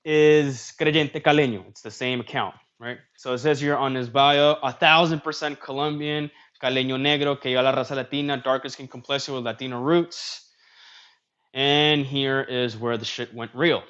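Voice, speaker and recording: A man's voice: medium pitch, fairly even intonation, moderate pace, fairly bright tone, clear, resonant tone, muffled recording. A man hosting a livestream in a casual, talkative way, reading social media posts aloud and commenting on them. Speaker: streamer host.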